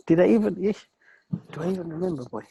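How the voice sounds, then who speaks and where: A man with a mellow, husky voice co-hosts a laid-back podcast, talking in halting, rambling stretches full of restarts and "you know what I'm saying." The sound is dry and close, with no room around it. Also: high voice, lively intonation, dry recording. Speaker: co-host. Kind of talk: casual podcast chat.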